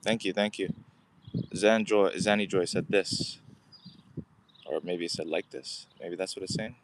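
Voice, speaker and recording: A man's voice: sits low, fairly even intonation, unhurried. A man from Canada, chatting casually to viewers on a phone livestream while walking his dog outdoors, full of fillers. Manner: rambling, many fillers, chats with viewers. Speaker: livestream host. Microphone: phone camera held while walking. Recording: loud wind noise outdoors.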